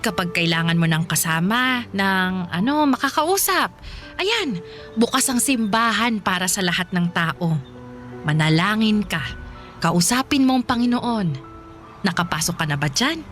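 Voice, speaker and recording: An actress playing a kind, devout elderly Filipino woman in a radio drama, speaking gently with caring, pitying exclamations. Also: medium pitch, very animated, a little slow. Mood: kindly, inviting. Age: older. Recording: music bed under the voice.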